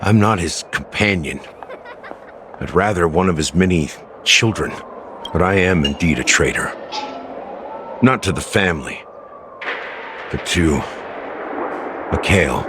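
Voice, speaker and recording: A man voicing a middle-aged, weary, remorseful police officer in a video game, brooding and measured as he speaks. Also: sits low, background noise. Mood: remorseful, bitter. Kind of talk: dramatic video game voice acting. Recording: music behind the voice. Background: game music.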